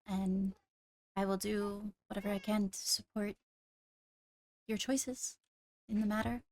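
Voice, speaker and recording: A woman acts out a diplomatic female leader of a fantasy game court. The voice has a noticeable creak at an average pitch. Phrasing is formal, careful and measured, as in improvised roleplay. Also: steady tone, close to an everyday voice. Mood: supportive, measured.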